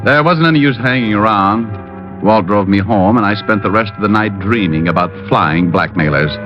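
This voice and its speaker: An actor playing a cocky, wisecracking private detective with a wry, breezy delivery in an old noir radio drama. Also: male voice, on the low side, normal speed, over music, close to an everyday voice. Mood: sardonic narration. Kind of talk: 1950s radio drama acting and noir narration. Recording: dull old radio recording.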